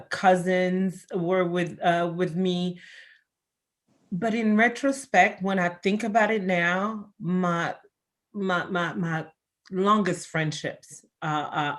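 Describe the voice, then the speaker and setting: Middle-aged woman guest, born in Congo and raised in Belgium, chatty with many fillers. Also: on the low side. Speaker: interview guest. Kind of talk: casual interview answers.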